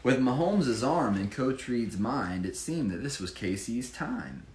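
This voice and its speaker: A man's voice: medium pitch, big pitch swings, measured pace, a little mellow. A man, a children's book author, reading a rhyming picture book aloud with dramatic emphasis and informal asides. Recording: echoey room, faint background noise.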